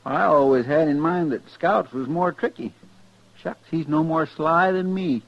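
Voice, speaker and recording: A simple, eager frontier sidekick with a rural American drawl. The voice is highish and very creaky, folksy and deferential. It sounds like a muffled 1950s radio western. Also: male voice, a little slow. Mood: naive, sheepish. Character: simple, eager, good-natured male deputy sidekick.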